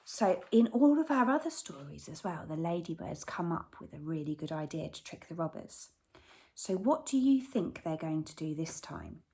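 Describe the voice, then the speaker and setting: A woman teacher reading a rhyming picture book aloud to children, animated, sometimes dropping to a whisper. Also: deep voice, moderate pace.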